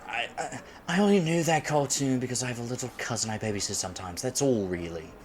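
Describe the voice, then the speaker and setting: A man's voice: mid-range voice, fast, slight background noise, natural voice. A man acting in a roleplay audio drama as an awkward, geeky man on a blind date, stammering, flustered and self-deprecating. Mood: embarrassed, defensive.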